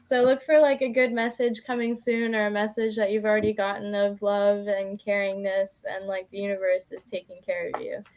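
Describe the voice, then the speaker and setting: A woman's voice: mid-range voice, flat delivery, moderate pace, hardly any pauses, darkish tone. A woman doing a casual live tarot reading as a guest, chatty and informal, feeling her way with plenty of "like". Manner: informal, chatty, hesitant phrasing with frequent "like".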